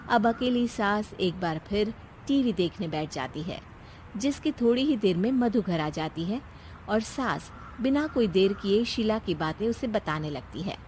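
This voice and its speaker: A woman's voice: medium pitch, expressive, unhurried, darkish tone. Woman narrating a story, faint background noise. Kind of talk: scripted narration of a comic Hindi story.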